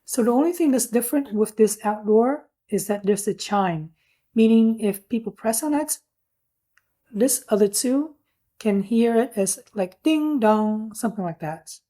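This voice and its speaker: A woman with a distinctly mellow, soft-edged tone and a slightly high voice. She talks through a product casually, as a reviewer would, in plain and informal terms. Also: expressive, a little slow. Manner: informal, plain-spoken explaining.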